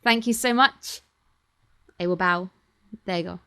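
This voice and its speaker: A woman's voice: lowish voice, measured pace, pushed and bright, smooth. A British woman in her twenties, chatting casually and in a rambling, self-deprecating way as she thanks her channel's viewers. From Britain. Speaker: channel host. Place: home streaming setup. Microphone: computer or webcam mic.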